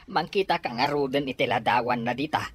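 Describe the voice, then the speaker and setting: A woman's voice: a bass voice, fairly quick, slightly rough. A woman acting a practical older spouse who chides and reassures in a radio drama. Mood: chiding, reassuring.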